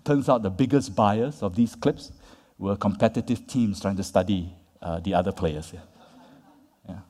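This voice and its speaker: A man with a fairly low, mellow voice gives a lively conference talk, leaning hard on key words. He keeps checking in with the audience with "right?" and "yeah?". His voice carries the long reverb of a large hall. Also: moderate pace. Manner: conversational, tags points with "right?" and "yeah?". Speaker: conference speaker. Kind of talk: lecture.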